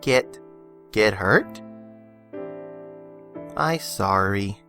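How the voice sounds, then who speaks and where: A man voicing an anxious, childlike young male pony in a cartoon-based audio story, haltingly echoing words. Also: lowish voice, animated, vocal fry, bright, forceful tone, faint music behind, heavily acted. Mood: worried. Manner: halting, repeating others' phrases.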